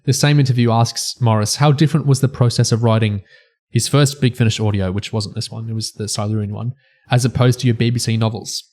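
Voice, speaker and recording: A man co-hosting a podcast discussion, speaking haltingly, phrase by phrase. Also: medium pitch, steady tone, a little mellow. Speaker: podcast co-host.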